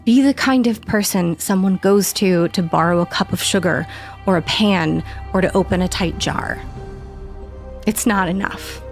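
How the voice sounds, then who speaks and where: A woman's voice: lowish voice, creaky. A woman narrating a video essay with a home cooking segment, from the United States, with a dry, sarcastic way of talking. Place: home kitchen.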